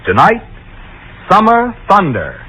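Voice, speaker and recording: A man's voice: high voice, wildly swooping pitch, slow, background noise, heavily acted. A man working as a sponsor announcer in 1940s radio style. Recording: old radio recording, loud music.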